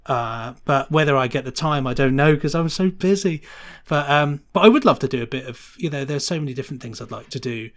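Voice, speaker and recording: A man talks as a guest in a relaxed interview. He is enthusiastic and chatty, wandering through his thoughts as he goes, with a mid-pitched voice. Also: brisk. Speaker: podcast guest, sound designer. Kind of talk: casual interview chat.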